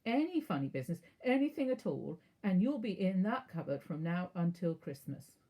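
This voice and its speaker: A bullying middle-aged uncle from a children's storybook, acted out by a woman reader, husky and low. He speaks in slow, emphatic, menacing warnings, gruff and grudging. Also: big pitch swings, warm tone. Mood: threatening.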